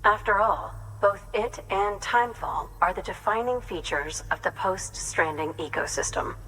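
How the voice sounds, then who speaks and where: A woman's voice: lowish voice, a bit bright, a little hiss or hum, natural voice, low-quality recording. An actress playing an earnest female biologist in a video game, speaking in an articulate, precise, explanatory way. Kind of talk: video game cutscene dialogue.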